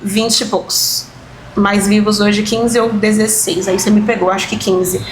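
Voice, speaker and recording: A woman's voice: medium pitch, unhurried, a little hiss or hum, rough recording. A woman, a dance teacher chatting as an interview guest, telling family stories with laughter and emotion. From São Paulo, Brazil. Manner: lively, laughing storyteller, emotional about family. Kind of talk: casual interview conversation.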